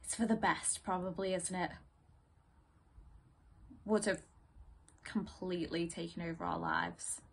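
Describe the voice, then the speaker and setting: A woman performing a dramatic stage monologue as a distraught, bitter, grieving partner in a couple, husky, halting and raw. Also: lowish voice, fairly even intonation, heavily acted. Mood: bitter, subdued.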